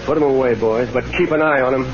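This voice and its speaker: A man's voice: medium pitch, fast talker, over music, close to an everyday voice. Man playing curt, bossy Western outlaw chief. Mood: threatening, curt. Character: scheming Western outlaw boss, hard and self-assured. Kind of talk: old-time radio Western acting. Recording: muffled band-limited old radio recording.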